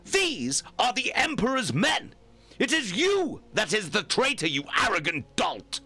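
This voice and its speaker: A man's voice: high voice, huge pitch swings, unhurried, sharp tone, husky, faint music behind, voice pushed up, exaggerated voice. A man voicing a scornful starship commander who snaps contemptuous retorts.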